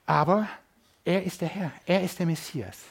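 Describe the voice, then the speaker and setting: A middle-aged man from Germany, preaching a sermon at a church service and swinging between loud emphasis and quiet asides. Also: high-pitched, normal speed, vocal fry, husky.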